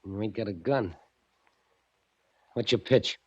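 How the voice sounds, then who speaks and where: A man's voice: lowish voice, slow, a bit bright, husky, full character voice. An actor playing a curt, suspicious, threatening gangster gunman in 1940s radio drama style, using tough slang. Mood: suspicious, demanding. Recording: dull, noisy old radio recording with clicks.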